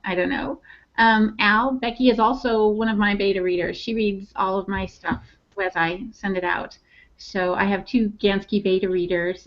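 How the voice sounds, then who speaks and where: A woman's voice: medium pitch, muffled recording. A woman co-hosting a podcast as a writer, talking in a casual, conversational way.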